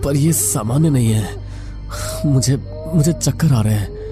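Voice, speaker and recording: A man's voice: mid-range voice, unhurried, music in the background, voice pushed up, lightly acted. A man playing an uneasy, sceptical young traveller, earnest and hesitant, in a horror audio story.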